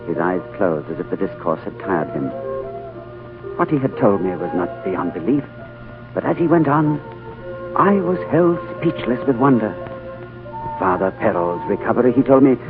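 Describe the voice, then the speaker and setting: An actor playing an earnest, awestruck traveler in a 1940s radio drama, with a dramatic, literary delivery. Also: male voice, on the low side, animated, moderate pace, lightly acted. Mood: awed, reverent. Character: earnest, awestruck male traveler narrating his tale. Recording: tinny 1940s radio recording under music.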